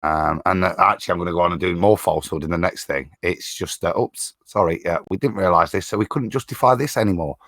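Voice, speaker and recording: A man on a discussion panel, talking casually in a halting, circling, indignant way. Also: deep voice, fairly bright tone, no echo, clean studio sound. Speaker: panelist on a live show.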